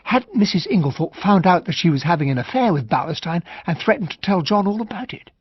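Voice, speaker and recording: An earnest English gentleman officer from a period radio drama, slightly dense and very proper. His husky voice sits fairly high and moves about excitably, on a slightly dull recording. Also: fast talker, dry recording. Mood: brooding narration. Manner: proper, excitable, old-fashioned gentlemanly speech. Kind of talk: radio drama dialogue and first-person narration.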